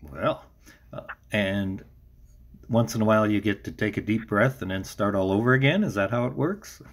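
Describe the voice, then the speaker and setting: A man's voice: fairly low pitch, expressive, normal speed. A man hosting a casual interview, chatting and putting questions to his guest. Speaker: interview host.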